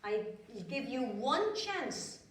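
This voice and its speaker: A woman voicing a stern good fairy in a children's song, warning in a slow sing-song. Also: high voice, lively intonation, pitched above the performer's own voice. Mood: scolding. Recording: echoey room, distant mic.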